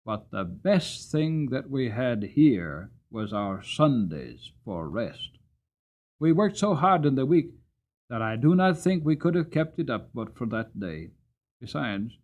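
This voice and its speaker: A gentle, earnest horse voiced by a man recounts his working life as in a classic storybook. The pitch moves freely and the delivery is dramatic and sincere. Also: unhurried, highs cut off. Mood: contented. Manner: expressive, earnest.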